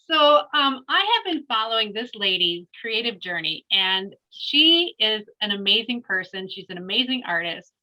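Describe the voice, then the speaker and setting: A woman's voice: mid-range voice, animated, moderate pace, pushed and bright, low-quality recording. A woman hosting a casual interview podcast, upbeat and eager, asking open questions. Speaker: podcast host.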